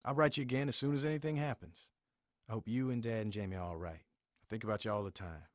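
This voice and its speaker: A man's voice: on the low side, fast, no room sound, clean studio sound, lightly acted. A young man playing an earnest, plainspoken Marine reading his letter home as a game voice-over. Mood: homesick, reassuring. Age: young adult. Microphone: close, dry studio voice-over.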